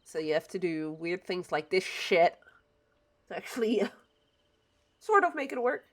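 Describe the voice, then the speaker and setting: A woman's voice: lowish voice, big pitch swings, measured pace. Chatty woman streaming a game. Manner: chatty and opinionated, muttering to herself as she builds. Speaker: livestreamer. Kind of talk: casual chat while playing a building game.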